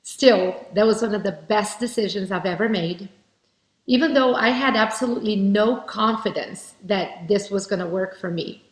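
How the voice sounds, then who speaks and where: A woman's voice: medium pitch, moderate pace. A woman from Brazil giving an earnest, heartfelt motivational keynote speech. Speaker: keynote speaker. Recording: roomy echo.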